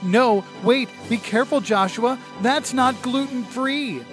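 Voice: mid-range voice, unhurried, over music, lightly acted. Actor playing a sensitive young slacker boyfriend. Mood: sincere, breezy. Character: sensitive slacker boyfriend, twenty-eight, heart of gold. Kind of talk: parody film trailer dialogue.

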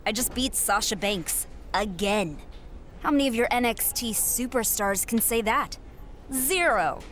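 A woman's voice: a little high, lively intonation, measured pace, fairly bright tone, slight background noise. A young woman voicing a confident, cocky wrestler in a video game cutscene. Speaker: player character wrestler.

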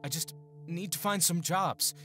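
A man's voice: high voice, unhurried, over music. A man playing an earnest, hesitant young farm lad, a protective older brother, in an audio drama. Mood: earnest, pleading.

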